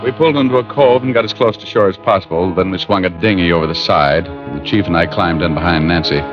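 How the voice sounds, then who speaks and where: An actor playing a cool, hard-boiled private detective in a 1950s radio drama, terse, wry and deadpan. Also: male voice, deep voice, quick pace, lightly acted. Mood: measured narrating. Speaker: lead actor and narrator. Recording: dull vintage radio recording with music.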